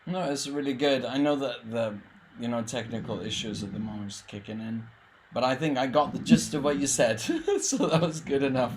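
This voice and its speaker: A man's voice: mid-range voice, fairly quick, slight background noise, poor audio. Encouraging man hosting a live chat. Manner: relaxed, encouraging, says "bless you" often. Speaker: host. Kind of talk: casual live interview. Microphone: video call.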